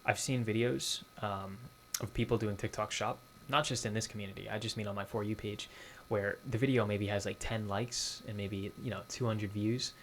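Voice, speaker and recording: A man from Boston runs a casual online lesson. His voice sits fairly low, and he circles back to his main points with many fillers. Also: steady tone, normal speed, slight background noise. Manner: conversational, repeats key points, many fillers. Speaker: online course instructor.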